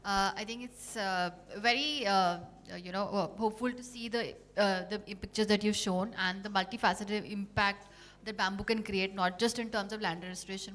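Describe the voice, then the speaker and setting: A woman's voice: medium pitch, fairly even intonation, brisk, a bit bright. A woman moderating a panel, giving formal introductions and sometimes searching for words. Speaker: session moderator.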